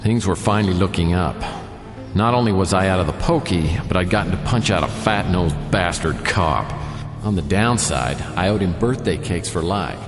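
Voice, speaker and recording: An actor playing a wisecracking, world-weary private detective in a noir radio drama, with a wry, deadpan delivery. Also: male voice, low voice, moderate pace. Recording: roomy reverb, music underneath.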